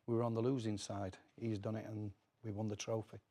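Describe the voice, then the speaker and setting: A man's voice: lowish voice, level tone, brisk, mellow. A middle-aged man with a Northern English accent, a former footballer reminiscing casually as a guest and often repeating words as he goes.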